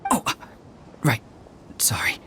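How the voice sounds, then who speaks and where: A man's voice: slow pace, sharp tone, in a whisper. A man playing a gossiping townsman in a video game, hesitant and conspiratorial. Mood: wary. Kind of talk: video game character acting.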